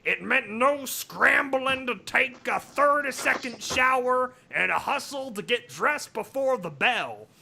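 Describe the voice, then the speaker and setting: A man putting on a raspy, strained, grating comic narrator voice to read a story in a mock-dramatic way. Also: very high voice, lively intonation, unhurried, hardly any pauses, sharp tone, slight background noise, full character voice. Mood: strained, exaggerated. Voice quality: raspy, gravelly. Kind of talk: mock-dramatic reading of fan fiction.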